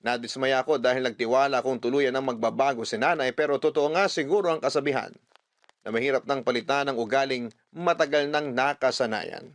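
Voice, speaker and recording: A Filipino man with a mid-pitched voice hosts a radio drama, reading letters as dramatic first-person narration. His delivery is earnest and sentimental. The sound is close and dry. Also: brisk, no room sound.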